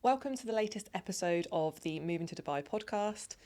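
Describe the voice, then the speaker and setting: A woman's voice: lowish voice, animated, brisk, darkish tone. A woman in her thirties hosting a podcast interview, encouraging her guest with frequent affirmations. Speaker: podcast host.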